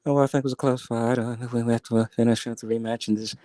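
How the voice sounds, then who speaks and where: A man doing a soft mumbling woman boxer. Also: mid-range voice, flat delivery, quick pace, dry recording, pitched below the performer's own voice, close to an everyday voice.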